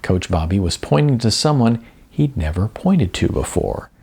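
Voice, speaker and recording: A man narrating a story in an expressive storytelling style. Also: fairly low pitch, normal speed, vocal fry, husky.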